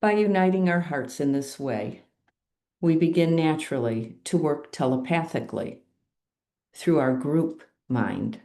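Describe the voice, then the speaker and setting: Slow, low, mellow-voiced woman. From USA. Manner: calm, measured, gently instructive. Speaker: meditation group host. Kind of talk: slow guided meditation introduction.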